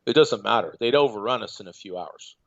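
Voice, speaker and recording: A man calling in as a guest, talking in a measured, hesitant way with many fillers. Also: lowish voice, animated, vocal fry, lo-fi sound. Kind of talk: casual remote call-in chat.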